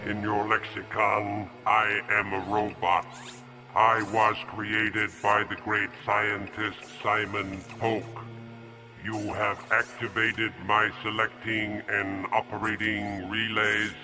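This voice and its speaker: An actor playing a literal-minded male robot, speaking in a flat, measured way in a radio drama. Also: on the low side, music in the background. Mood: greeting. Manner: flat, measured, emotionless delivery.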